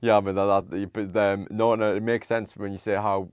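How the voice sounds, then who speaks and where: A young man hosting a podcast, asking long, halting questions full of "um"s and restarts. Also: lowish voice, flat delivery, a little mellow, clean tone, dry recording. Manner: halting, many "um"s and stammered restarts. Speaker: podcast host. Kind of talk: casual interview conversation.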